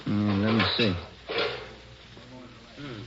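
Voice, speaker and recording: An actor playing an easygoing, laconic police detective sidekick with low-key replies in a 1940s radio drama. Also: male voice, extremely slow. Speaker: detective partner. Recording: muffled, noisy 1940s radio recording.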